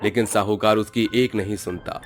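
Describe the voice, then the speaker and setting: A male narrator reads a scripted moral tale in a fairly low voice. Music plays softly behind him. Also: fairly even intonation, moderate pace.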